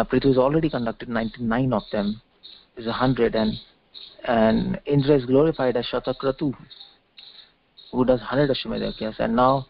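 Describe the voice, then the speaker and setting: An Indian-accented man delivers a devotional lecture in a medium-pitched, creaky voice. He explains haltingly, often restarting and repeating phrases. The audio is lo-fi call sound with faint background noise. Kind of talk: religious lecture, explaining scripture extempore. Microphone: conference call line.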